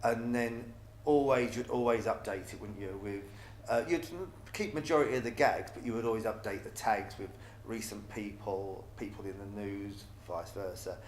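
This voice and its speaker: A man's voice: fairly low pitch, moderate pace. A middle-aged man in a casual interview about stage work, often repeating and restarting his phrases. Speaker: pantomime dame performer. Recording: echoey room with faint background noise.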